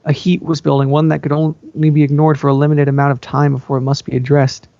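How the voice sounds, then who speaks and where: A man's voice: a little high, monotone, fast, gravelly, mellow, no room sound. A man giving an amateur, melodramatic reading of story narration, occasionally tripping over words. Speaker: fan fiction reader. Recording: dull, dry lo-fi home recording.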